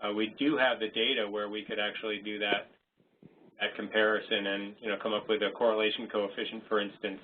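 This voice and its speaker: A man's voice: fairly low pitch, flat delivery, fairly quick. Man answering over a tinny phone line. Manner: hesitant, many restarts and fillers. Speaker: webinar presenter. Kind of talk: unscripted Q&A answer. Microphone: conference call line. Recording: tinny phone-line audio, faint hiss.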